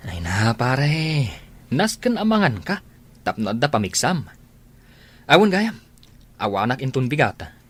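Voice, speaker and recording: A man's voice: mid-range voice, sing-song, a little slow, long pauses, a bit bright, breathy edge, no echo, heavily acted. A man playing a frank, concerned young construction workmate in a radio drama, advising in a matter-of-fact way.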